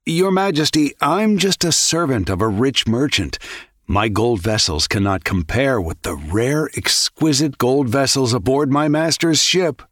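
A man's voice: mid-range voice, expressive, measured pace, a bit bright, no echo, studio quality. An actor playing a loyal, cautious male servant in a children's story, respectful and a little hesitant. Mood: helpful, persuasive. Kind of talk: children's audio story acting.

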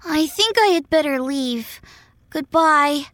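A woman voicing an earnest, gentle little boy prince from another planet in a children's fairy tale. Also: sits high, slow pace, dry recording, studio quality. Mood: polite, let down.

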